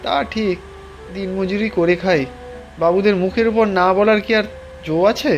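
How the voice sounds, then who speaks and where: A man's voice: sits high, fairly even intonation, moderate pace, lo-fi sound, faint music behind. A man voicing a humble, resigned village day labourer in a cartoon, with folksy, plain-spoken delivery. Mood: resigned, chatty. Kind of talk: cartoon voice acting.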